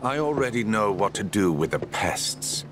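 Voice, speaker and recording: A man voicing a cold, dismissive villain who speaks in a curt, measured way. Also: on the low side, sing-song, background noise.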